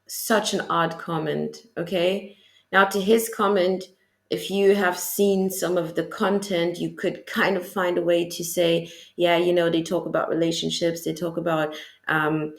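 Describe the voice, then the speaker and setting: A woman's voice: lowish voice, creaky. A woman hosting her own podcast, reacting to a clip in a frank, rambling way and asking rhetorical questions. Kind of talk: reaction commentary.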